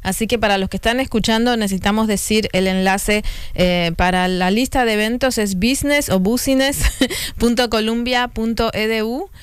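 Woman radio host, interviewer; smooth, clear voice, dry close sound. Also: fairly high pitch, normal speed, no room sound. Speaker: radio and live stream host.

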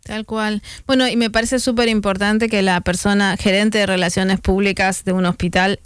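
Clear-voiced woman hosting an interview. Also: medium pitch, flat delivery, normal speed, dry recording, studio quality. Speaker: interviewer, host. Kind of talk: conversational interview.

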